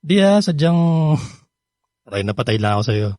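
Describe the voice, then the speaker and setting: An actor playing an earnest, lovestruck young suitor in a Filipino radio drama, pleading and sweet-talking with a Filipino accent. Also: male voice, fairly high pitch, very animated, moderate pace, darkish tone, no echo, studio quality. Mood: pleading, romantic.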